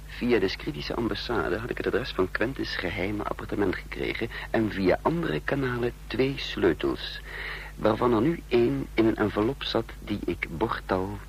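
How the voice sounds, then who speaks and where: Shrewd spy guy, measured and knowing, lowish voice, old radio play with a bit of noise. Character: shrewd secret agent narrating his own spy scheme. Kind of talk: radio drama narration and acting. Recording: faintly noisy old radio recording.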